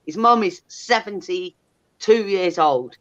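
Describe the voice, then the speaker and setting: A woman's voice: lowish voice, lively intonation, slow pace, muffled recording. A woman, chatting candidly in a blunt, sweary, emphatic way. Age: 30s. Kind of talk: candid casual chat.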